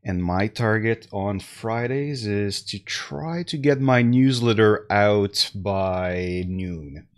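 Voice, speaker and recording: A man in his thirties talks through a headset in a small room at home, slightly stuffed up. His voice sits fairly low and he speaks unhurriedly, pausing with fillers as he chats to his live audience. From Canada. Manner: slow, relaxed, with many fillers and restarts. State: slightly congested. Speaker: tech deals streamer. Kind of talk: casual live-stream talk to chat.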